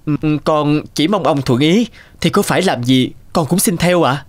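An actor playing a poor, humble young suitor in an animated folk tale, respectful and earnest. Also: male voice, fairly high pitch, moderate pace, lightly acted. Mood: humble, pleading. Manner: respectful, pleading, gentle.

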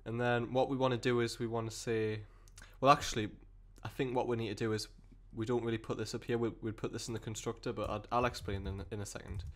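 A man with a cold and a croaky voice, explaining code step by step in a rambling way with many fillers and restarts. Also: medium pitch, little pitch movement, quick pace, hardly any pauses. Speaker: programming tutor. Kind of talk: screen-recorded coding tutorial.

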